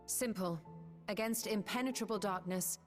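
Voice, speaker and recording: An actress voicing a wise, composed sorceress in a video game, speaking in a measured, formal and assured way. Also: female voice, mid-range voice, faint music behind, lightly acted.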